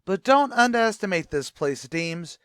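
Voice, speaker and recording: A man's voice: high-pitched, sing-song, moderate pace, fairly bright tone, smooth, no room sound, clean studio sound. A man playing a brash, slangy tavern host with a New York accent in an old-time radio sitcom. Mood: eager, chatty. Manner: slangy, mangled grammar, eager chatter.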